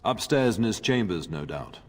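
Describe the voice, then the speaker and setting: A man's voice: on the low side, big pitch swings, normal speed. An actor playing a commanding noble father, lord of a castle, in a fantasy game, with an authoritative manner. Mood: firm, fatherly. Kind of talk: fantasy game dialogue acting. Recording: long hall reverb.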